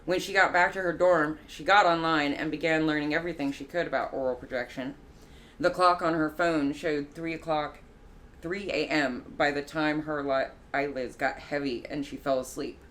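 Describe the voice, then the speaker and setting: A woman with a low voice, a guest narrator reading a short story aloud in a measured, careful way with small slips. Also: fairly even intonation, a bit bright. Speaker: guest narrator, musician and artist.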